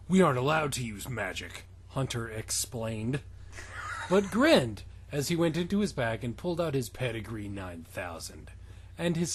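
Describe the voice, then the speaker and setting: A man co-hosting a comedic reading, breaking off to mock the story in halting asides. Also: fairly high pitch, slightly rough. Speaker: podcast co-host. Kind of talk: comedic dramatic reading with banter.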